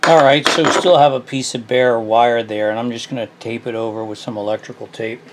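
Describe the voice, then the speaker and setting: A middle-aged man with a mid-pitched voice talks his way through a repair at a workbench. He is relaxed and self-deprecating, and he often restarts his sentences as he works. The camera mic picks up his voice close to his hands, with a faint hum of the room behind him. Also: fairly quick. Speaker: small engine repair host. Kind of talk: casual hands-on repair tutorial. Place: workshop bench, indoors.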